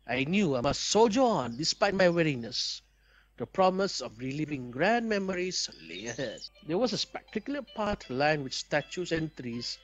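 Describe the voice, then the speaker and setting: A fairly high-voiced man narrates a visual novel playthrough aloud. His pitch swings widely as he reads, and music plays behind him. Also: a bit bright. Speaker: video game player and narrator.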